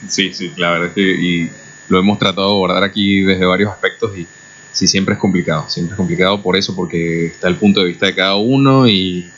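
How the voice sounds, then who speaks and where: Deep-voiced Venezuelan man, radio host. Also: steady tone, brisk, fairly bright tone. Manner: hesitant, many "eh" fillers, thoughtful. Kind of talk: radio interview. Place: radio studio. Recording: muffled lo-fi radio recording.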